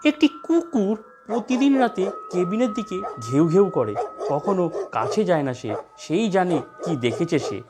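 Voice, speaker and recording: A narrator reading a scripted horror story. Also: medium pitch.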